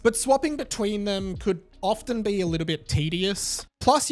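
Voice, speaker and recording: A man hosting a casual, chatty video game commentary, speaking enthusiastically to viewers in slangy language. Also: high-pitched. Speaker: YouTube video host. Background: background music.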